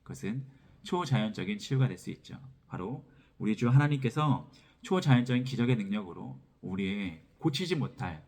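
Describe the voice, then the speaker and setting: A man's voice: on the low side, darkish tone. A man preaching an expository sermon as a pastor, repeating phrases as he explains, his throat sore from a cold. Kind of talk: expository sermon with scripture reading.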